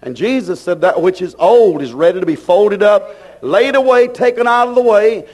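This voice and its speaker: A man's voice: high-pitched, normal speed, a little mellow. A man preaching a fervent sermon, emphatic and declarative, repeating phrases to drive them home.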